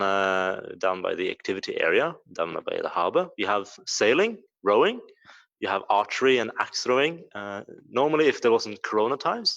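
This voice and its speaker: A very deep-voiced man from the museum staff explains history to a live audience. He hesitates often and leans on fillers. The stream audio is muffled. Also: vocal fry, a bit bright. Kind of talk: casual live Q&A answers.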